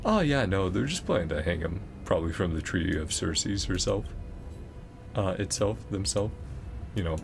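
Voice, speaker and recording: A man's voice: low voice, expressive, moderate pace, vocal fry, soft background music. A man chatting casually on a gaming stream, in an off-the-cuff conversational way. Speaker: streamer reading game dialogue aloud.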